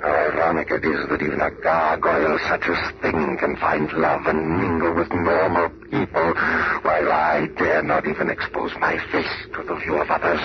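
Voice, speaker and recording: An actor playing a masked, bitter, gloating supervillain with pompous, formal diction in an old-time radio superhero drama. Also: male voice. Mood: bitter, brooding. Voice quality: very deep, gravelly. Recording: muffled, very noisy old radio recording.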